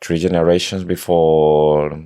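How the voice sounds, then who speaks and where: A podcast co-host casually discussing books, with halting, non-native phrasing. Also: rumbling low, little pitch movement, warm tone, smooth, no echo.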